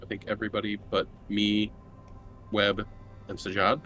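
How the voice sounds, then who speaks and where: A man's voice: on the low side, monotone, normal speed, pushed and bright, soft background music, rough recording. A man chatting casually as a player in a tabletop roleplaying game. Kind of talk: casual chat.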